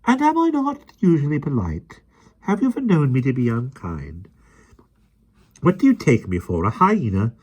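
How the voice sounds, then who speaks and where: A haughty, easily offended nanny with a starchy, clipped delivery, put on by a man reading aloud. Her voice sits noticeably higher than the reader's own deep tone and turns sharp with indignation. She suits a storybook. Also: wildly swooping pitch, moderate pace, slightly rough. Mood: indignant. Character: stern, prim, easily offended female nanny.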